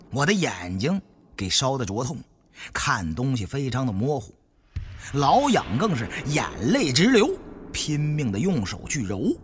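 Guy reading a thriller audiobook, super expressive swings in pitch, faint music behind. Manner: animated, expressive storytelling. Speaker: audiobook narrator. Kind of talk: dramatic scripted narration.

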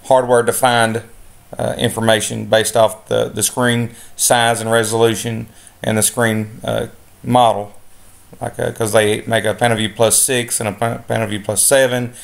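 A man giving a step-by-step software lesson over a screen recording, relaxed, with many filler sounds. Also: on the low side, vocal fry. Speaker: instructor.

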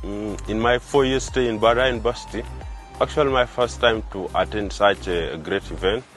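A man's voice: fairly low pitch, a little fast, fairly bright tone, soft background music. A young man from South Sudan, a fourth-year student, speaking upbeat and straightforwardly outdoors on campus. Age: young adult. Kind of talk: interview answers.

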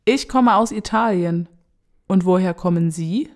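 Woman reading lesson dialogues very slowly. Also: fairly low pitch. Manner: careful, deliberate enunciation. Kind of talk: slow scripted dialogue reading.

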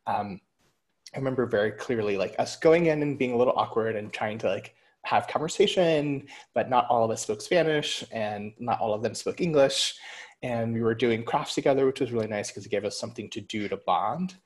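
A young man wearing a headset on a video call, telling a story in a relaxed way. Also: mid-range voice, fairly quick. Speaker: panelist. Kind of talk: casual panel talk. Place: home room, indoors.

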